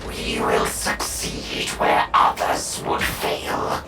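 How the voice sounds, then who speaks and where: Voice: a bass voice, monotone, very slow, heavily acted. An actor playing a cold, proud cyborg soldier in a video game, with stilted, literal phrasing and robotic processing on the voice. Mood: solemn. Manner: stilted, refers to itself as a unit. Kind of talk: video game character dialogue. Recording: echoey, distant, loud music behind.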